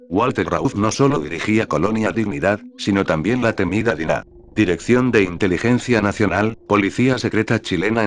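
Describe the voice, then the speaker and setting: A man reading scripted documentary narration as the narrator, in a measured, serious manner. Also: low-pitched.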